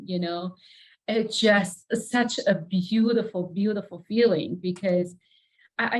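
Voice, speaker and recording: A woman's voice: mid-range voice, poor audio. A middle-aged woman from Iran, a native Persian speaker, talking reflectively as an interview guest, searching for words and often saying "you know".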